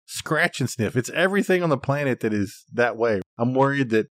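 Male podcast co-host, mid-pitched, dry studio-close sound, casual banter with exasperated, over-the-top rants. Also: expressive, a little fast, no room sound.

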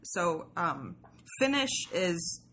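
A low-voiced woman from Sweden, native in Swedish and Russian, talks as a podcast guest. She is casual and wry, with faint noise behind her. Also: very animated, extremely slow, fairly bright tone, rough recording. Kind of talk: casual interview chat.

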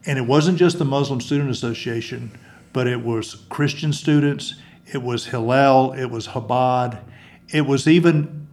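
A man's voice: medium pitch, unhurried, a little mellow. Man chaplain recounting a campus story. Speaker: university chaplain. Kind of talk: interview storytelling.

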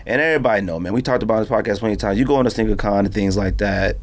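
An American man hosting a podcast in casual chat, telling stories in a slangy, animated way. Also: on the low side, rapid-fire. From United States. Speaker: podcast host. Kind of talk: casual chat about sneakers and hats. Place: podcast studio.

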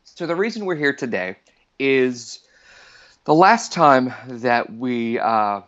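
A man's voice: mid-range voice, animated, takes it slowly, fairly bright tone, lo-fi sound. A man in his forties, a returning podcast guest, chatting casually in a rambling, self-deprecating way. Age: 40s. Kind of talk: casual chat.

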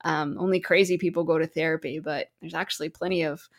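A woman's voice: low voice, fairly even intonation, fast talker. A woman speaking as a therapist guest in an interview, explaining advice conversationally and illustrating it with example quotes.

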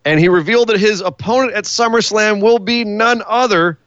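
A man co-hosting a casual wrestling podcast, animated and playful, hyping up each announcement. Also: high voice, brisk, clear, resonant tone, dry recording, muffled recording. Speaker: podcast co-host.

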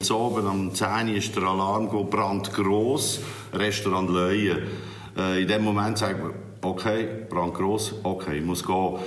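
Deep-voiced middle-aged Swiss man, echoey. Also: steady tone, a little slow. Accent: Swiss German. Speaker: fire brigade commander. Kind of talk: spontaneous interview answers. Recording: echoey room.

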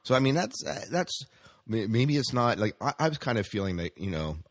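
A man's voice: medium pitch, very animated, a little fast, no echo, clean studio sound. A man hosting a casual news chat, speaking conversationally and often putting questions to his co-host. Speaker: podcast host reading entertainment news.

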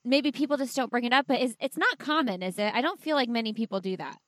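A woman hosts a casual interview and asks eager, curious questions. Her voice sits a little high. The sound is dry and close, with no room echo. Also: quick pace. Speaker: podcast host.

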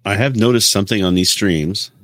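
A man's voice: on the low side, a little fast, fairly bright tone, no room sound, clean studio sound. A man chatting casually as a podcast co-host, musing aloud and asking questions. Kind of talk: casual chat.

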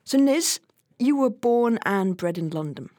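A woman's voice: fairly high pitch, animated, a little slow, darkish tone, dry recording. A woman hosting a podcast interview, welcoming her guest and asking inviting questions. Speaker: podcast host, program director.

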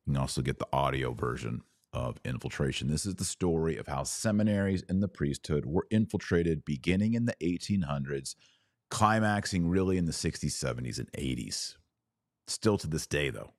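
Man, very deep voice, podcast news commentary, emphatic, informal asides, dry sound. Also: animated, moderate pace, no room sound.

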